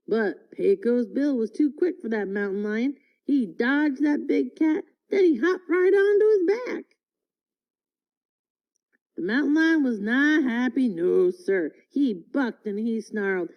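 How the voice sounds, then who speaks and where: A woman reading a folksy tall tale aloud in an expressive, deliberate storytelling manner. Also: a little high. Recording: dull, lo-fi audio.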